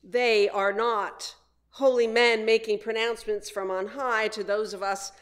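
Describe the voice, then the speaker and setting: A woman minister delivering a prepared sermon in measured, deliberate phrases with frequent pauses. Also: a little high, fairly even intonation.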